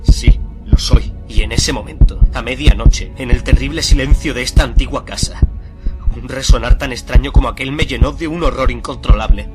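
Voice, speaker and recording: An actor playing a nervous, obsessive murderer confessing his crime in a theatrical, deliberate radio drama monologue. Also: medium pitch, natural voice. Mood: frenzied, agitated. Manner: theatrical, deliberate, swelling from hushed to frenzied. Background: music under the voice.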